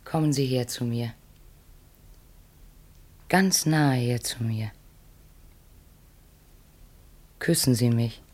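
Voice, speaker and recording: An actress playing a commanding, fatalistic seductress in a solemn 1960s German radio play, speaking with deliberate, incantatory phrasing. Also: female voice, very deep voice, a little mellow, full character voice. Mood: seductive, commanding. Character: commanding, fatalistic seductress demanding her husband's death.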